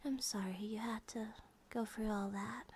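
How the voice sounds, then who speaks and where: A woman voicing a gentle, affectionate monster-girl bear wife in a soft ASMR comfort roleplay, often dropping to a whisper. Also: on the high side, fairly even intonation, moderate pace, close to an everyday voice. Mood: soothing, loving.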